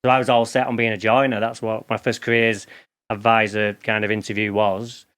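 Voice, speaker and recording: A man's voice: on the low side, flat delivery, a little fast, a bit bright, clear, resonant tone. Lancashire man telling candid stories. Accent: Lancashire English. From England. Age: 40s. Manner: rambling, candid storytelling with restarts. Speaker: guest. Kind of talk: casual interview chat.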